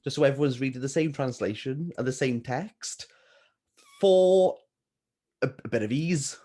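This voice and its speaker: A man hosting a casual book chat, self-mocking and quick to laugh. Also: mid-range voice, warm tone. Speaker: book channel host.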